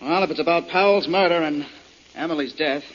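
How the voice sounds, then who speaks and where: An actor playing a surly, sarcastic pilot and wronged husband in a 1940s radio drama. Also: male voice, fairly high pitch, fairly quick, fairly bright tone, lightly acted. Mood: bitter, challenging. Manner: curt, sarcastic. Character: surly, bitter male pilot and jilted husband. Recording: dull, hissy 1940s radio recording.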